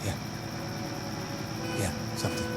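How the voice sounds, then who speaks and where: A man voices a weary army lieutenant with a troubled conscience, speaking slowly and haltingly. Music plays steadily under his lines. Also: lightly acted. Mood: haunted. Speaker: officer. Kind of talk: radio drama acting. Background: music score.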